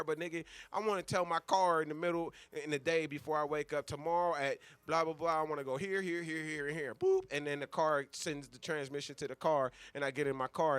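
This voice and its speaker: A man's voice: a little high, a bit bright, no room sound. Dry-sounding man, profane casual talk. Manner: profane, slangy, rambling. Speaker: radio show co-host. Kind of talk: casual, speculative chat.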